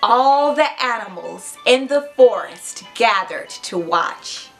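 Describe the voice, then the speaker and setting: A woman in her thirties hosting a children's read-aloud, with an expressive, clearly paced, teacherly storybook delivery. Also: on the high side, slow pace, faint music behind, low-quality recording. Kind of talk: storybook narration to young children. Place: furnished room indoors.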